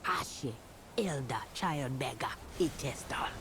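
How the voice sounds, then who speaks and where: A woman voicing a stern, solemn elder in a fantasy video game, speaking gravely and portentously. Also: rumbling low, lively intonation, takes it slowly, background noise, heavily acted. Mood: grave, testing. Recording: game audio with clear music behind.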